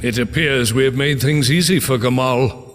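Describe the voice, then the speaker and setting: A man playing a grave, measured scholar of a secret order in a video game, speaking formally. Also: mid-range voice, noisy background, lightly acted. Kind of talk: video game cutscene acting. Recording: hall reverb with music.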